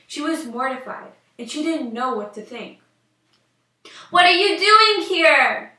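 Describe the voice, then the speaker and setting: A woman's voice: a little high, bright, forceful tone. A young woman reading a scripted fairy tale aloud as storyteller. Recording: roomy echo, distant microphone.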